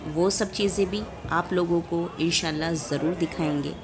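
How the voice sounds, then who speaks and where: A woman's voice: lowish voice, normal speed, music in the background. A young woman chatting to her viewers as she films a casual travel vlog on a phone held at arm's length, outdoors in a big courtyard. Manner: chatty, addresses viewers directly. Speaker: vlogger. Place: outdoors in a large mosque courtyard. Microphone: phone camera held at arm's length. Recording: noisy outdoor phone recording.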